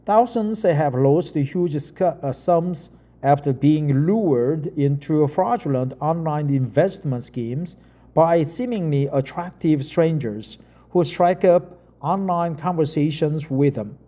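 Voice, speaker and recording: A middle-aged Korean man with a Korean accent, a non-native English speaker talking casually and reading an article aloud, halting with frequent fillers and restarts. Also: a little high, lively intonation, mellow.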